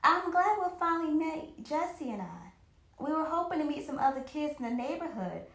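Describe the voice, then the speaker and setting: A woman's voice: sits high, very animated, normal speed, a bit bright, echoey room, voice pushed up, heavily acted. A woman voicing a friendly, sociable boy from a children's storybook with a cheerful manner.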